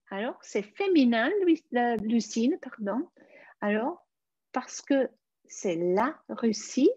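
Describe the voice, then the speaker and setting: A woman teaching a live online French lesson, speaking slowly and deliberately and spelling out careful examples for her learners. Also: a little high, dark tone, slightly rough, no room sound. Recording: dull, dry lo-fi stream audio.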